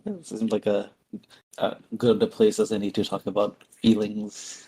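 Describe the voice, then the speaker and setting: A man's voice: on the low side, level tone, moderate pace, warm tone, breathy edge, low-quality recording. A man casually improvising narration as a tabletop game player, hesitant and thinking aloud.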